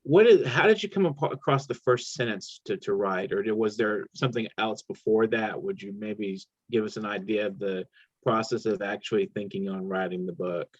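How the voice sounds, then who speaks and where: A man hosting an interview, asking questions with many halting restarts. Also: fairly low pitch, lo-fi sound. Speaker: host.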